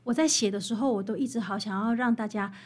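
A woman with a Taiwanese Mandarin accent, an author guest explaining music history in conversation with emphatic, animated storytelling. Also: medium pitch, fast talker, husky.

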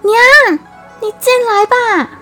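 A woman's voice: sits high, sing-song, unhurried, faint music behind, pitched above the performer's own voice. A woman voicing a brave young heroine who pleads earnestly. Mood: pleading.

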